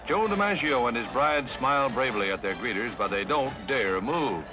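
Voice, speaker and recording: A man's voice: mid-range voice, lively intonation, normal speed. A man narrating a 1950s newsreel with a jaunty, punchy announcer delivery. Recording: dull vintage newsreel soundtrack. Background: background music.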